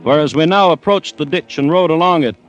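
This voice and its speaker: An actor playing a solemn district commissioner, narrating a vintage radio drama in a measured, brooding manner. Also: male voice, a little high, dull audio, faint music behind, close to an everyday voice. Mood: measured narration. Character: grave, fascinated district commissioner narrating his dread.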